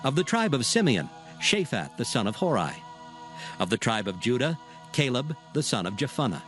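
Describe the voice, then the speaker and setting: A man narrating scripture in a measured, formal reading. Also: mid-range voice, sing-song, a bit bright. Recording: music under the voice.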